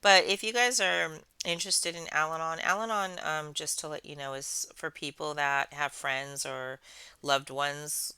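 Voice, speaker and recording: A woman hosting a craft channel, chatting casually in a soothing, soft-spoken, rambling way. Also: deep voice, fairly even intonation, measured pace, smooth, no echo. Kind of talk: casual chat while crafting.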